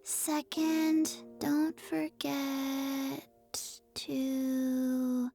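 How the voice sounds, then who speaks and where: A woman voicing a small zombie girl in an anime-style video game, earnest, deadpan and forgetful, with halting delivery. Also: sits high, vocal fry, faint music behind, full character voice. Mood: earnest, flat.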